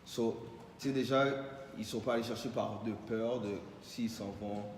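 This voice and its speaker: A man's voice: lowish voice, steady tone, moderate pace, echoey room, in a large hall, distant mic, slight background noise, rough recording. A man with a Quebec French accent, easygoing in a casual podcast chat, switching between French and English. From Montreal, Quebec. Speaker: podcast co-host.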